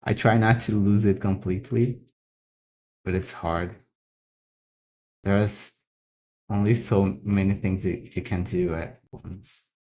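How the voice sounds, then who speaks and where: A Brazilian man speaks English with a Brazilian accent in a very low, deep voice. He talks slowly and casually while drawing, often stopping to repeat a word before he goes on. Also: steady tone, a little mellow. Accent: Brazilian Portuguese. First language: Brazilian Portuguese. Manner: halting, repeats words while thinking. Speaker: illustrator.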